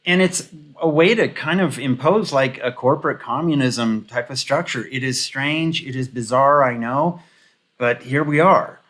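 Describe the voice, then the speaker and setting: A man hosting an off-the-cuff political commentary video, giving opinions in a halting, rambling, casual way. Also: medium pitch, a bit bright.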